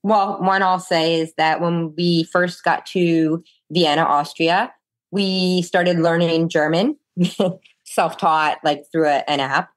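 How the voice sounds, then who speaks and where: A woman's voice: lowish voice, steady tone, slow. An older woman talking casually as an interview guest, recounting her experiences. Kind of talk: casual conversational storytelling.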